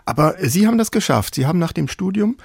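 A man's voice: high voice, sing-song, quick pace, creaky, slightly rough, no room sound, studio quality. A man hosting an interview, putting questions and comments to his guest in a conversational way. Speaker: interviewer, host.

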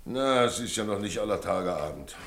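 An actor playing a wry, washed-up, theatrical tavern landlord in a drama, drawling with irony. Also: male voice, on the low side, expressive, moderate pace, a bit bright, slight background noise. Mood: wry, ironic. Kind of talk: stage-style radio drama dialogue. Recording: roomy, distant, plucked guitar behind.

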